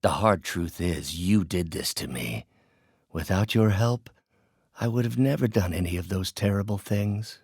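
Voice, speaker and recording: A man's voice: low voice, a little slow, darkish tone, breathy edge, dry recording, studio quality, full character voice. A man playing an older scientist turned bitter, vengeful villain in a video game, speaking in a theatrical, precise, erudite way. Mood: bitter, brooding. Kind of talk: video game voice acting, dramatic isolated lines.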